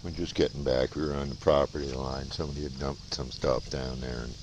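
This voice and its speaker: Very deep-voiced man chatting over outdoor noise. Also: level tone, fairly quick. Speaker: vlogger showing farm life. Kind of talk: casual rambling outdoor chat. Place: outdoors on a farm and riding in a vehicle. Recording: noisy outdoor recording, vehicle and insects.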